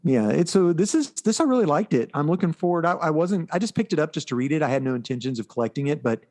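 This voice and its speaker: A man's voice: fairly high pitch, lively intonation, fast talker, a little mellow. A man co-hosting a podcast, recapping things in a casual, explanatory and informal way. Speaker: podcast co-host. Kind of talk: casual chat, recapping comic books.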